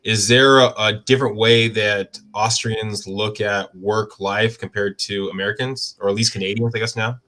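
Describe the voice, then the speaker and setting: Low-voiced rambling podcast host. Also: male voice, fairly even intonation, normal speed, few pauses, sharp tone. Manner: loose, rambling questions with many fillers. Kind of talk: casual interview hosting.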